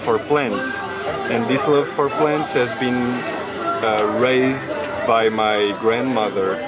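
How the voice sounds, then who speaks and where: A man from Portugal, a herbalist stallholder, talking haltingly with many fillers in a casual interview. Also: medium pitch, poor audio. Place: outdoor market. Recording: loud music and crowd outdoors.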